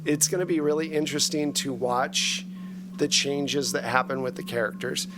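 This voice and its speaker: A man's voice: mid-range voice, steady tone, moderate pace, music in the background. A man hosting and narrating a tabletop role-playing game in casual, improvised talk. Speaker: game master and host. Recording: clear background noise.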